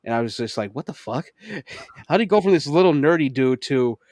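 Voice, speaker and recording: A man in the middle of a loose podcast chat, excitable and quick to break into laughter. He swears freely and his pitch sits mid-range. The sound is dry and close, with no room echo. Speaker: podcast co-host. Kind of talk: casual chat.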